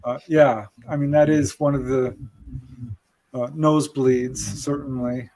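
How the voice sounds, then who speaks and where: A man's voice: mid-range voice, fairly even intonation, a little slow, dark tone, low-quality recording. A man speaking as a guest researcher, answering conversationally with anecdotes in an interview. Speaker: guest UFO researcher and author.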